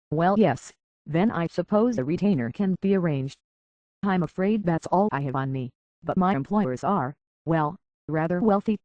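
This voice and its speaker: A man's voice: fairly high pitch, a little mellow, dry recording, muffled recording, close to an everyday voice. A man voicing a courteous, anxious robot butler and hotel concierge in a video game, with a British accent and a formal, fussily polite manner. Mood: pleading, worried. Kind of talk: scripted video game character acting.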